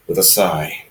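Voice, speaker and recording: A man reading fan fiction aloud as an amateur narrator, halting and often going back to repeat phrases. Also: sits low, fairly bright tone. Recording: roomy echo, distant from the mic.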